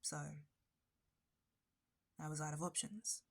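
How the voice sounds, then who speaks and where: A woman's voice: sits low, takes it slowly. Obsessive, intimate yandere barista woman, acted by a woman. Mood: pleading, resentful. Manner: intimate, breathy dropping into whispers, nervous laughs and sudden bitter flares. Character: obsessive yandere barista woman, possessive, wounded, unhinged kidnapper. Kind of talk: ASMR roleplay monologue.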